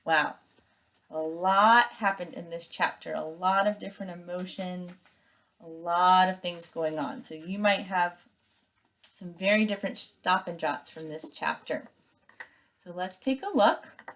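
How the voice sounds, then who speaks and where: A woman teacher reading aloud and giving step-by-step instructions to young pupils in an expressive, emphatic way. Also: fairly low pitch, a little slow.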